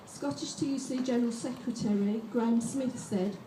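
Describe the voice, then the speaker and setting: A woman reads steadily from her notes at a public rally. Her voice is mellow and slightly high. She sounds far from the mic, in an echoing space. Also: normal speed, slight background noise. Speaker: rally organiser introducing speakers. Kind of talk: read-out speech.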